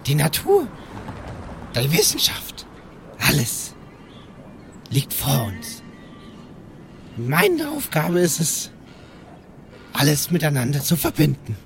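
A man puts on a mad scientist doctor for a tabletop space game. The voice is high and leaps wildly, with a slow, theatrical delivery. He is proud, gleeful and driven. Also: vocal fry, a little hiss or hum, heavily acted. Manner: theatrical, slow declaiming with cackles. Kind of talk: tabletop role-play, in character.

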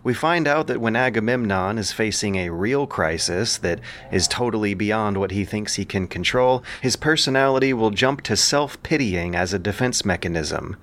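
A man narrating and hosting a scripted audio production in a measured, prepared delivery. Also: on the low side, expressive, a bit bright, slight background noise. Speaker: narrator and host. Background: music.

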